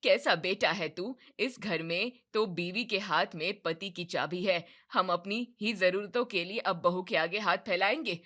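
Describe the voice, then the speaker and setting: A sharp, bright-toned voice of a domineering mother-in-law, as a woman puts her on, scolding her family loudly and indignantly. She talks in a demanding, aggrieved way. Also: deep voice, moderate pace, few pauses, pitched below the performer's own voice. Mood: angry scolding.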